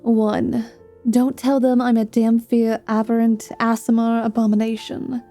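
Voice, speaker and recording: A woman performs a female half-vampire mercenary from a fantasy audio roleplay. She is sardonic, world-weary and a little unhinged, speaking slowly in a mellow, slightly high voice. Her delivery is teasing, dry and theatrical. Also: little pitch movement, soft background music. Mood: wry, playful. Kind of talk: ASMR fantasy roleplay acting.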